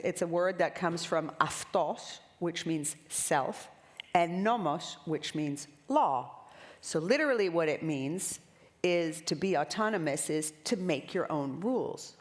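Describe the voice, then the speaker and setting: A woman speaking on a conference panel, from Greece. Her voice sits very low for a woman, and she talks in a loose, conversational way with fillers.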